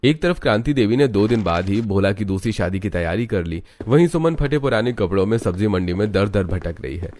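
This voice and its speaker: A man with a low voice serves as narrator of a moral family tale. His delivery is scripted, dramatic storytelling. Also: animated, brisk, hardly any pauses, a little mellow.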